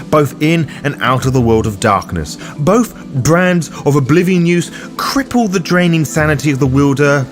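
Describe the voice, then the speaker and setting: Man, scripted explainer, opinionated, theatrical, lively melodic delivery, mid-pitched, background music. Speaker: host of a tabletop roleplay guide.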